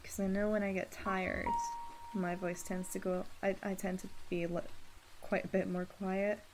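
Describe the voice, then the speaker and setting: A young woman streamer chatting casually while drawing, relaxed, with soft laughs and filler words. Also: medium pitch, noisy background. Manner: relaxed, slow, with soft laughs and many ums. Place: home streaming setup. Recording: music under the voice. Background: music playing.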